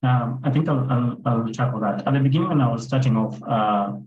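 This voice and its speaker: A man's voice: mid-range voice, brisk. A man speaking as a conservation photographer on an online panel, repeating words for emphasis. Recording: roomy, dull sound with faint noise.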